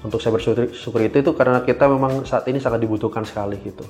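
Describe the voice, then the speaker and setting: A man, answering casually as a podcast guest, hesitant and full of filled pauses. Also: mid-range voice, fairly even intonation, darkish tone, faint music behind. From Indonesia. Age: 20s. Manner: hesitant, halting delivery with frequent filled pauses. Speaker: guest cyber security engineer.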